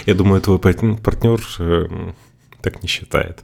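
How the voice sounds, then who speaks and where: Low gravelly man with dry quips. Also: fairly even intonation, unhurried, darkish tone. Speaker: podcast co-host. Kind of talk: casual podcast chat.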